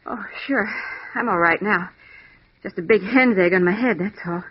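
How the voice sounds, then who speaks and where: An actress playing a plucky, eager, stubborn detective's assistant in a 1940s radio drama, with a perky, insistent delivery. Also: female voice, mid-range voice, moderate pace, husky, natural voice. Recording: muffled, lo-fi old radio recording.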